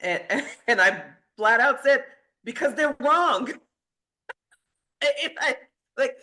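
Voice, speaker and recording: An earnest woman physician, middle-aged, talking as an interview guest. She tells her story in a steady conversational way and breaks into short laughs at her own boldness. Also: sits high, slow.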